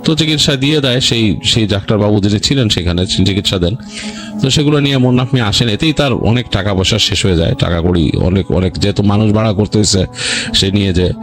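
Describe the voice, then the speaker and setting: A man's voice: fairly low pitch, quick pace. Bangladeshi man telling stories over music. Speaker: horror story show host. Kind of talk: unscripted ghost story narration. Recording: music bed under voice. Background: background music.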